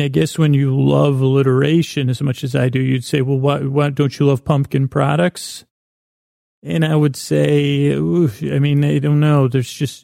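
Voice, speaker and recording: A man with a gravelly, creaky voice and a soft, mellow tone hosts a bedtime podcast in a close, dry sound. He meanders whimsically from thought to thought, stopping, doubling back and repeating words. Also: medium pitch, no echo. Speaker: podcast host. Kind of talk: rambling, whimsical bedtime monologue.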